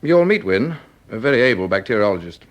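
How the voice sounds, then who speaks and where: A man's voice: lowish voice, big pitch swings, fast. Earnest, precise male scientist. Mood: obliging, explanatory. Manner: polite, precise, explanatory. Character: obliging, earnest male vaccine scientist explaining carefully. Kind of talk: radio drama acting.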